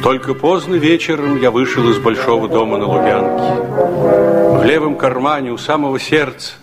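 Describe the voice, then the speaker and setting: An actor playing a reflective older man who recalls his youth, narrating a radio drama in a measured, wistful way. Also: mid-range voice, animated, natural voice. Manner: measured, wistful, with weighty pauses. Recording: 1960s radio recording, loud music behind.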